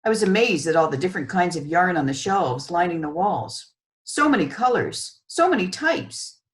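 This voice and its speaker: A woman reading a children's story aloud as an author, with expressive, measured narration. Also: on the low side.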